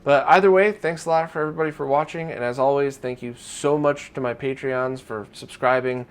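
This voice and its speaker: A man's voice: mid-range voice, fairly even intonation, brisk, clean tone. A man hosting a weekly news roundup in a casual, conversational and informal way. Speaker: host of a weekly retro gaming news roundup.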